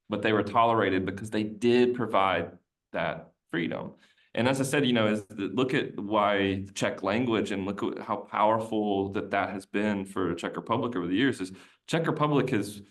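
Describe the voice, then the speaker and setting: A man, a podcast guest, chatting casually and telling a long rambling story, often looping back and restarting his sentences. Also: on the low side. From United States. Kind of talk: casual conversational interview.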